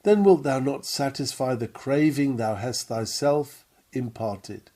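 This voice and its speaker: A man reading a sermon aloud in an earnest, measured, emphatic preaching manner. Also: mid-range voice, dark tone. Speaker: reader of a printed sermon. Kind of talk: read-aloud sermon, devotional.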